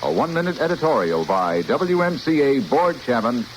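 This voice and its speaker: A man's voice: medium pitch, very animated, moderate pace. An American man making a scripted station announcement in a 1960 radio style. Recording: old radio recording with faint hiss.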